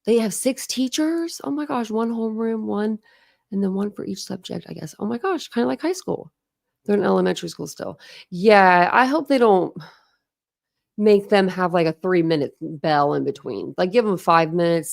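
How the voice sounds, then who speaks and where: A woman's voice: medium pitch, lively intonation, normal speed, creaky. A woman with a Midwestern accent, chatting casually with her viewers as a livestream host, rambling and saying "like" a lot. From Ohio. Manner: rambling, heavy use of "like", restarts.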